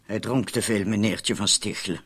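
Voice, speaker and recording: A man's voice: fairly low pitch, normal speed, fairly bright tone, slightly rough. A man playing an anxious, doubting accomplice in a radio drama, with a hesitant, questioning way of talking. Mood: reproachful. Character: anxious, doubting, wordy accomplice in a murder plot. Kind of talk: Dutch-language radio drama acting.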